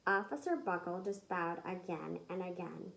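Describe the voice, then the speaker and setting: A woman reading a children's picture book aloud in an expressive, emphatic storytelling manner. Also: on the low side, moderate pace, vocal fry, poor audio. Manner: animated, emphatic, acts out the dialogue.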